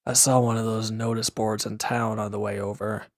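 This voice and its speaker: A man acting a gruff, guarded former villain in a roleplay audio drama, curt and grumbling, reluctant to lean on anyone. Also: fairly low pitch, little pitch movement, moderate pace, no room sound, studio quality, natural voice. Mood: matter-of-fact, insistent. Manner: curt, grumbling, defensive, with sighs and hesitant breaks. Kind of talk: scripted roleplay audio drama addressed to the listener.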